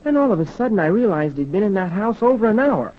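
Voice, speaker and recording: An actor playing an eager, polite schoolboy son in a 1930s radio drama, with an earnest boyish manner. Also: male voice, high-pitched, sing-song, fast. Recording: dull, worn 1930s radio recording.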